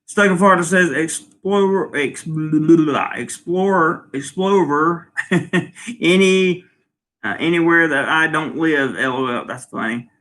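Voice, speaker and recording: Male stream host, bright and forceful, loose and rambling as he answers comments. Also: a little high, a little slow. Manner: rambling, lots of ums and restarts. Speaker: channel host. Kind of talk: casual livestream, reading viewer comments. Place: home in Thailand.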